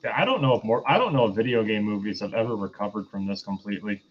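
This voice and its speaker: A man's voice: on the low side, expressive, quick pace, slight background noise. A man chatting casually as a panelist on a review show, on a slightly muffled recording.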